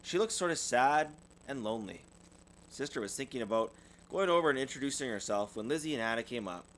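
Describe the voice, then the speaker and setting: A man's voice: mid-range voice, lively intonation, brisk, a bit bright, slight background noise, low-quality recording. A man reading a children's storybook aloud as a parent would, expressively and with small stumbles. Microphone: USB desktop microphone.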